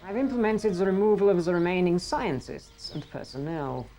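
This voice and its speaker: A woman plays a cool, efficient supervisor in an amateur audio drama, crisp and unsentimental with a dry edge. Her voice is lowish, with faint music behind. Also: animated, normal speed, warm tone. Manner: crisp, matter-of-fact and dry.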